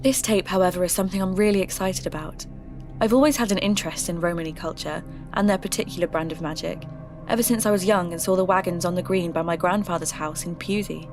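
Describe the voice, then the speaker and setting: Woman acting earnest folklore investigator, lowish pitch, measured podcast narration, clear music bed. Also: lightly acted. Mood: reflective, intrigued. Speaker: podcast host. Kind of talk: scripted first-person mystery narration.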